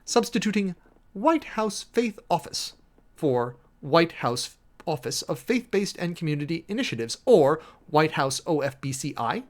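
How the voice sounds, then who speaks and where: A man's voice: on the high side, big pitch swings, unhurried. A man giving a dramatic, emphatic reading of a formal document, announcing headings and punctuation as he goes. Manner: theatrical, emphatic, spells out punctuation and section headings. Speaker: streamer and host reading a document aloud.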